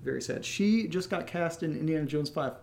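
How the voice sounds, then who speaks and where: Youngish guy podcast host, kinda high voice, jokey and informal, just chatting. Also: a little fast, gravelly, darkish tone. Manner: playful, joking, informal. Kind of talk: casual unscripted Q&A chat.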